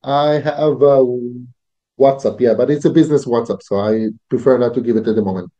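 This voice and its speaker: Hesitant guy, repeats himself a lot, mellow voice, dull muffled call audio. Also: mid-range voice, fairly even intonation, a little fast. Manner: hesitant, repeats words, stumbling phrasing. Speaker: client interviewing freelancers. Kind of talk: business call.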